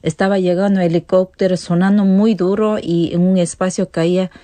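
A woman's voice: lowish voice, steady tone, quick pace, mellow, no room sound. A woman from the Ecuadorian Amazon with an Ecuadorian accent, telling personal stories casually as a studio guest. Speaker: interview guest.

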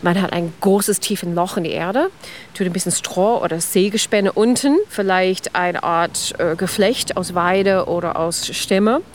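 A woman's voice: fairly low pitch, a little slow, slight background noise. Enthusiastic woman explaining. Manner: non-native German with grammar slips, enthusiastic, explains step by step. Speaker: ice cream history expert. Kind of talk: explanatory interview talk.

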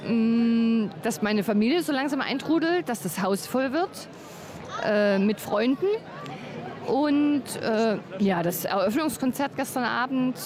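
A woman's voice: fairly high pitch, expressive, measured pace, crowd noise. A woman interviewed at a festival, talking in a homely way with hesitations. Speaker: festival visitor. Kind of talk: street interview.

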